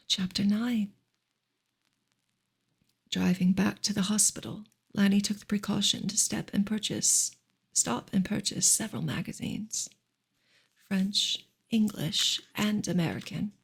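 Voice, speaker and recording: A woman reading a novel aloud as ASMR in a soft, breathy whisper, with slow, gentle, measured delivery. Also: mid-range voice, steady tone. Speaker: ASMR reader of a classic novel.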